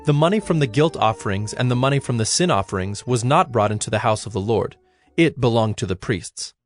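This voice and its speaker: A man's voice: mid-range voice, expressive, brisk. Solemn male scripture narrator over faint music.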